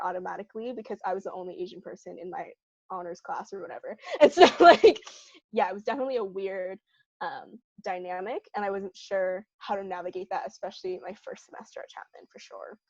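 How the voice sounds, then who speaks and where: A woman's voice: mid-range voice, darkish tone, no room sound, lo-fi sound. A young woman from Southern California co-hosting a podcast, talking casually with lots of fillers and laughter. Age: young adult. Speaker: podcast co-host. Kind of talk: casual chat.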